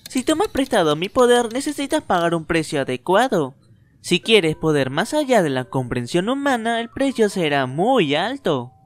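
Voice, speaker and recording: A man voicing a playful, teasing boy ghost in a fan dub, swinging from low murmurs to loud lines. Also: sing-song, normal speed. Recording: faint anime music behind.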